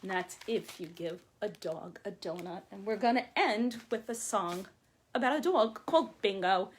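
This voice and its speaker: A woman in her forties, a children's librarian reading aloud to young children with expressive, deliberate phrasing. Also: medium pitch. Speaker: children's librarian hosting storytime. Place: small room at home or office, by window. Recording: clearly noisy home video with clicks.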